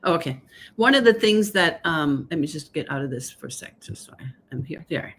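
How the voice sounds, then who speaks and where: A woman's voice: low-pitched, animated, a little fast, a bit bright, slightly rough. Danish-accented woman explaining earnestly. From Denmark. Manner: earnest, explanatory, peppers talk with "right?". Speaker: author and guest speaker. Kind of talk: online live conversation. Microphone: video call.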